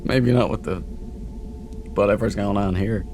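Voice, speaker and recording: Male gaming host, very low voice, unhurried, joking casual commentary, noisy game ambience. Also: steady tone. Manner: joking, chatty asides to game characters. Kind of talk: casual playful let's play commentary. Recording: clear background noise under voice.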